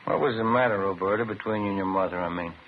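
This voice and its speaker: Low-voiced, understated detective. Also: male voice, fast. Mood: skeptical, probing. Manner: understated, matter-of-fact. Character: patient, pressing male police detective, quietly skeptical. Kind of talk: 1950s radio crime drama acting. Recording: muffled, noisy old 1950s radio recording.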